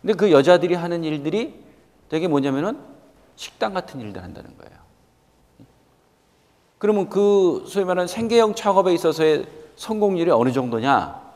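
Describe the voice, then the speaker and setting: Animated man in his fifties, reverberant hall. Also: fairly high pitch, brisk, pauses often. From South Korea. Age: 50s. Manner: emphatic, questioning the listeners directly, repeating key points. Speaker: guest lecturer, company executive. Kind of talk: motivational lecture to students.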